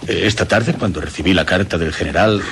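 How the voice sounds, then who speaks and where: An actor playing a gentle, grave older father in a radio drama, measured and paternal. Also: low voice, a little hiss or hum.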